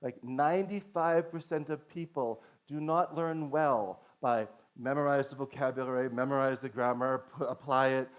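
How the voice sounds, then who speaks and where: A man's voice: medium pitch, normal speed, hardly any pauses, smooth. A middle-aged man from Boston giving an earnest conference talk as a language teacher, lecturing with frequent restarts and "okay"s. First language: English. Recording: dull lo-fi recording.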